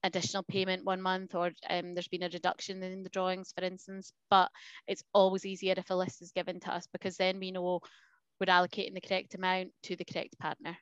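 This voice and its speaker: A woman's voice: on the low side, completely flat delivery, fast, fairly bright tone, dry recording. A middle-aged woman, an accountant, giving matter-of-fact advice in a professional video call. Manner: clear, matter-of-fact explaining. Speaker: accountant panelist. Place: home room. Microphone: headset mic on video call. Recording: dull, lo-fi video-call audio.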